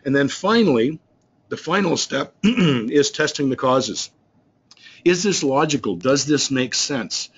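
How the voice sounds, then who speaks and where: A man's voice: medium pitch, animated, measured pace, slightly rough, highs cut off. A man working as a business trainer, talking through slides in a loose, rambling way with many restarts and fillers. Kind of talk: explaining slides in a recorded webinar.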